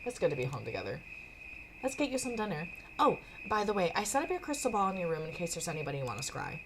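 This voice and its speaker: A woman's voice: on the low side, big pitch swings, fast talker, noisy background. A woman voicing a caring, affectionate young witch in a visual novel, with a fond, chatty manner. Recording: music behind the voice.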